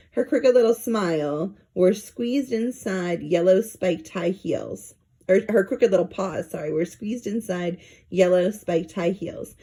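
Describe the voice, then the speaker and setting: A woman reading a children's story aloud in an expressive narrating style. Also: on the low side, breathy edge. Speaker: storybook reader.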